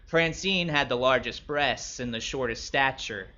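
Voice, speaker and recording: A man's voice: medium pitch, a little slow, bright, forceful tone, dull audio. A man reading a first-person short story as a wary young male escort of the 1960s, wry and blunt. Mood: wry, reflective.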